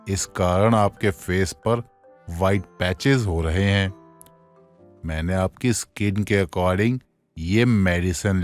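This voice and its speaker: Casting: cartoon dermatologist, deep and unhurried, calm, reassuring, mixes English into his speech. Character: calm, reassuring male skin doctor. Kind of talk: Hindi animated moral story dialogue.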